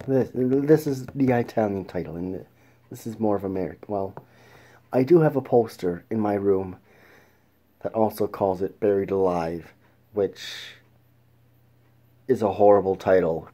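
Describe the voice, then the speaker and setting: A man hosting a casual film review show, talking in a halting way with restarts and throat clearing. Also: on the low side, warm tone. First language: English.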